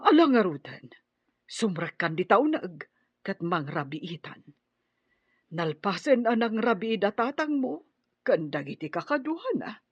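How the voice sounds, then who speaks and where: A woman playing a worried, fussing mother in an Ilocano radio drama, with a husky voice and expressive, concerned delivery. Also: medium pitch, a little slow, no echo. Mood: indulgent. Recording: slightly muffled radio drama sound.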